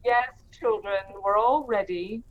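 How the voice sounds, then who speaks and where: A woman's voice: mid-range voice, measured pace, narrow, tinny audio, a crowd in the background, natural voice. An actress playing a fond, worried working-class Victorian mother in an audio play, homely and bustling in manner. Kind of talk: audio play acting, old radio drama style.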